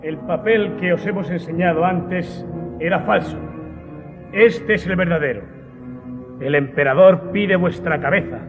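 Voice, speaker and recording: A man's voice: high voice, measured pace, long pauses, over music. An actor playing a treacherous enemy messenger in a radio drama, declaiming his lines. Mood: cold menace. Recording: muffled, long cavernous reverb.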